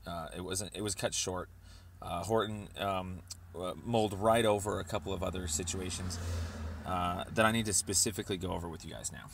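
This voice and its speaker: A man leaving a rambling voicemail, speaking in a hesitant, halting but insistent way, on a recorded phone call. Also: low voice, steady tone, gravelly, fairly bright tone, a little hiss or hum.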